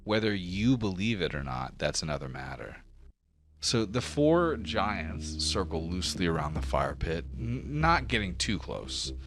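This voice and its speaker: Very deep-voiced male game master. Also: big pitch swings, a little slow, fairly bright tone, faint music behind. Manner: descriptive storytelling with casual asides. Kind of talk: narrating a tabletop fantasy game.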